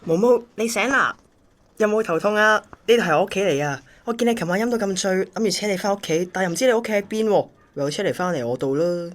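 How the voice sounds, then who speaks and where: A woman's voice: medium pitch, lively intonation, quick pace, natural voice. A woman with a Hong Kong Cantonese accent playing an obsessively devoted young male courier in a radio drama. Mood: friendly, solicitous.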